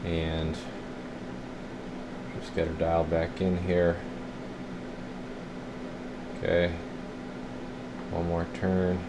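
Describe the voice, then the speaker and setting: A man's voice: sits low, monotone, drawn-out words, pauses often, background noise. A man giving a casual, rambling hands-on walkthrough as a radio technician, thinking aloud as he works.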